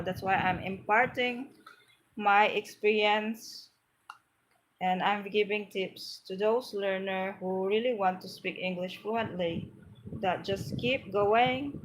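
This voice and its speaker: A woman's voice: medium pitch, a little hiss or hum, rough recording. Encouraging Filipino-accented woman. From Philippines. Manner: earnest, encouraging, repeats herself while searching for words. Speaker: livestream host, English tips. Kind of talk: casual live stream chat, reading comments aloud.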